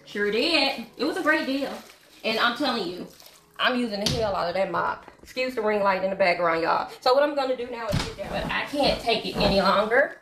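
A woman talks to her viewers while cleaning her living room. Her voice sits a little high, and her talk is chatty and gossipy. The camera stands across an echoey room. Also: a little fast, noisy background. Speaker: vlogger. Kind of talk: casual chat while cleaning. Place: home living room with hardwood floor. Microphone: camera set up across the room.

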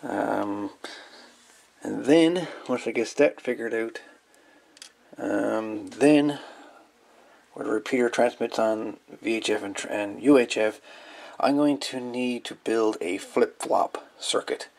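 A man's voice: on the low side, slow, creaky, darkish tone. A man hosting a casual hands-on electronics demo, rambling and thinking aloud as he goes. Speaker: hobbyist video host.

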